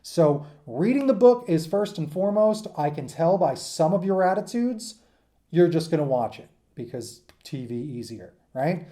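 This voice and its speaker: Higher-voiced man teaching informally, enthusiastic and mellow. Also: expressive, moderate pace. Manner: enthusiastic, emphatic; rambling, conversational. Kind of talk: informal lecture to students.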